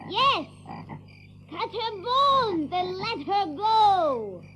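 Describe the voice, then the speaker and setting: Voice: very high voice, big pitch swings, drawn-out words, bright, forceful tone, slight background noise, full character voice. A performer playing a bold, mischievous young boy who leads others in an audiobook drama. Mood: gruff commanding bluster.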